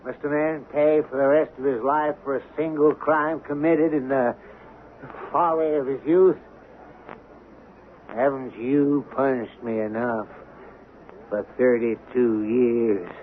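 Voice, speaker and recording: An actor playing a frightened, guilt-ridden middle-aged husband, pleading and agitated, in old-time radio drama style. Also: male voice, mid-range voice, steady tone, slow pace, natural voice. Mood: anguished, bitter. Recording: muffled, hissy vintage radio recording.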